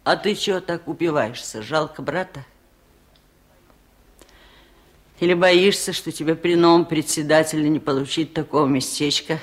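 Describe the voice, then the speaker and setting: An older actress in a Soviet radio play, playing a wise, stubborn old village aunt with a wry, chiding manner. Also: female voice, sits low, brisk, lightly acted.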